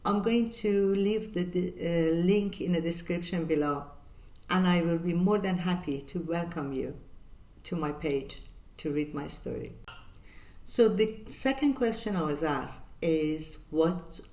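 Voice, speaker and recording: An older woman with a Persian accent talks slowly and earnestly, pausing often as she gathers her thoughts. Her voice sits a little low, and the room around her adds a noticeable echo. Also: darkish tone. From northern Iran. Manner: measured and earnest, with frequent pauses and fillers. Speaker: channel host. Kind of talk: reflective Q&A monologue.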